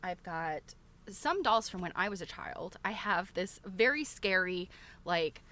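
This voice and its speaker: A woman's voice: lowish voice, animated, measured pace, bright, forceful tone. A woman chatting casually to regular viewers as a livestream host, rambling along in a conversational way. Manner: chatty, rambling, often breaks into laughter.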